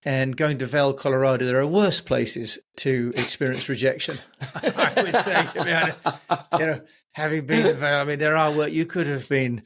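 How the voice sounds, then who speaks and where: A man's voice: a little high, moderate pace, a bit bright. A man hosting a podcast, talking conversationally with a guest. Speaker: podcast host. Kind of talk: conversational interviewing.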